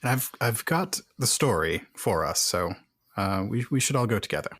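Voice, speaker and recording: Male tabletop player, slightly low pitch, casual role-play chat, very dry recording. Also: lively intonation, moderate pace, fairly bright tone, husky, dry recording.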